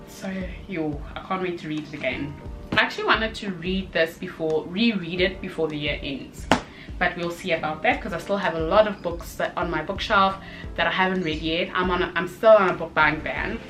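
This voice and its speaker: Bright-voiced young woman vlogging. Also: fairly low pitch. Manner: expressive, conversational, emphatic repetition. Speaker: book review vlogger. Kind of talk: casual chatty video review.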